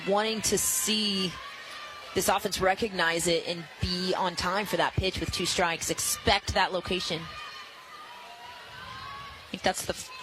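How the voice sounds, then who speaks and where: A woman giving live softball analysis as a radio color commentator, explaining plays in an analytical, coach-like way. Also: fairly low pitch, steady tone, unhurried, pauses often, over music, low-quality recording.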